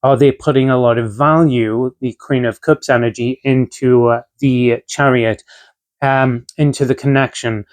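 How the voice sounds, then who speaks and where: A young man with a medium-pitched voice, still rough and slightly off from a chest infection, talks through a tarot reading. He speaks in a relaxed, chatty way, drifting through ums and uhs as he lays out each card. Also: a little slow. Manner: relaxed and conversational, frequent filler ums and uhs. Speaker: tarot reader. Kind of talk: casual tarot reading to camera.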